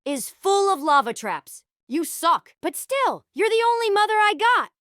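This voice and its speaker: A woman voicing a bratty, excitable prankster child in a comic dub. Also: extremely high pitch, a little slow, no echo, studio quality, exaggerated voice. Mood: panicked.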